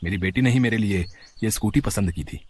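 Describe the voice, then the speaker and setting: A man voicing a humble, self-sacrificing middle-aged father in a family story, with a husky voice. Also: lowish voice, brisk. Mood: troubled.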